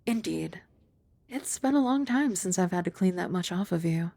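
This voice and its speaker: A young woman voicing a composed young maid who is also a childhood friend. She uses formal, polite speech with gentle, dry teasing, is soft-spoken, and sometimes drops to a whisper. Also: lowish voice, animated, normal speed, vocal fry. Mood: calm. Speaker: ASMR roleplay performer. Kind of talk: ASMR roleplay.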